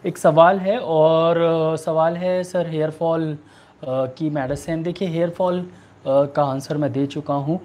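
A man in his thirties with an Indian accent, a doctor answering viewer questions in a halting, repetitive way. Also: fairly high pitch, fairly even intonation, a little mellow. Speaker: homeopathic doctor hosting a live online clinic. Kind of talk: answering viewer questions, giving remedy advice. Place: small furnished room indoors.

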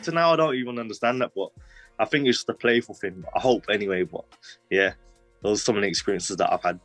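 Low-voiced young man, rambling. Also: fast talker, a bit bright, no room sound, soft background music. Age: 20s. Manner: rambling, heavy use of "like" fillers, street slang. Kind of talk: casual personal storytelling.

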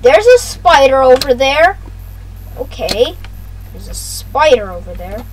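A high-pitched gaming host talks slowly through casual gameplay commentary. Phrases often get repeated and aimed straight at viewers, over some faint background noise. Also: very animated, rough recording.

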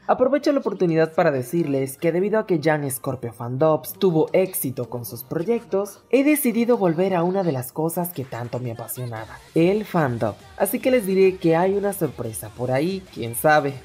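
Young woman doing a fandub creator update, soft mellow tone, faint music behind her. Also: low voice. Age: young adult. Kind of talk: scripted vlog narration to fans. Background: background music.

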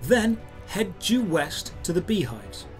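Male guide narrator, slightly high register, scripted instructional delivery over background music. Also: very animated, slow pace. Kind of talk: scripted step-by-step tutorial narration.

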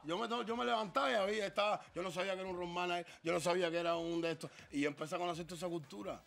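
A man with a fairly high voice talks quickly. He is a guest artist on a studio show, telling a long story and calling the others "mi rey". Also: steady tone, gravelly, fairly bright tone, soft background music. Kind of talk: casual studio talk. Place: TV studio set.

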